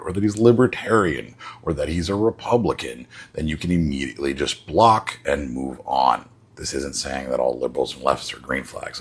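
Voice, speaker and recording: A man delivering a direct advice monologue as a social media creator, with emphatic, deliberate phrasing. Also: rumbling low, slightly rough.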